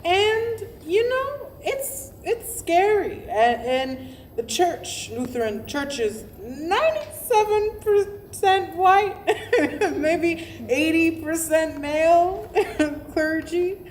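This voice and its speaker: Earnest female pastor, played by an actress, unhurried and slightly high, thoughtful stop-start conversational delivery, faint background noise. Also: very animated, exaggerated voice. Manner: halting, thoughtful, self-correcting. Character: female Lutheran pastor reflecting on her calling, earnest. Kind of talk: verbatim theatre monologue, conversational. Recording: faintly noisy live staged reading.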